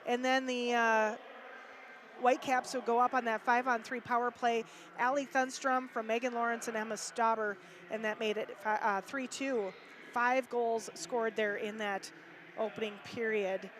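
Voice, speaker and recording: Woman, Minnesotan accent, hockey commentator, chatty, raised pitch, faint noise. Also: steady tone, normal speed, fairly bright tone. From Minnesota, USA. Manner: chatty, reads stats with fillers. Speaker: hockey broadcaster. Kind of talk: casual live-stream commentary.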